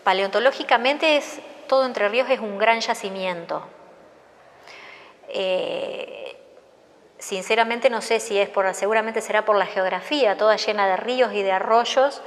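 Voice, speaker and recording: A woman with an Argentine accent explains things casually, like a specialist chatting. Her mid-pitched voice rings with the long reverb of a hall. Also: measured pace. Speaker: paleontology specialist.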